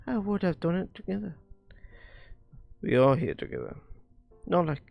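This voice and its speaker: A man reading visual novel dialogue aloud on a live stream, haltingly, often stumbling over words and repeating phrases. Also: high voice, big pitch swings, creaky, highs cut off, soft background music.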